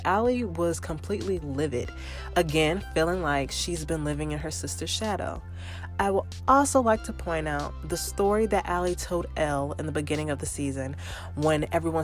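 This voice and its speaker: Chatty low-voiced woman podcast host. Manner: chatty and animated, with fillers and quoted asides. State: slightly congested from allergies. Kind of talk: casual solo podcast recap.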